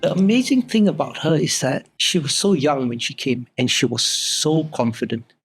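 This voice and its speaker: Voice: medium pitch, big pitch swings, normal speed, husky. A middle-aged host from Singapore, interviewing guests casually on a food talk show, with music behind.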